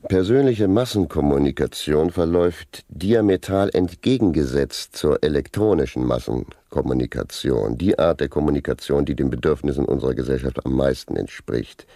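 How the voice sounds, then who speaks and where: An actor playing a pedantic senior narcotics officer in a satirical radio drama, lecturing in formal, bureaucratic language. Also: male voice, extremely low pitch, moderate pace, hardly any pauses, dark tone, dry recording, studio quality. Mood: officious, didactic. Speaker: interviewed police official.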